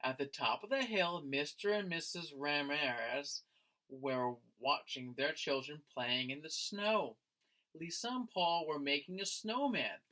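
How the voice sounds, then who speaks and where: A man, a teacher, reading a picture book aloud to young children in an expressive storytelling style. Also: fairly high pitch, poor audio. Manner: expressive, slow, addressing listeners as friends.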